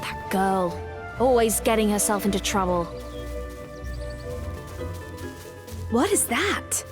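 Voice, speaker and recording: A woman's voice: mid-range voice, expressive, moderate pace, music in the background, natural voice. A woman playing a bold, sly young apprentice witch, commanding and haughty, in a video game. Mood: exasperated. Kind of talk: video game character acting.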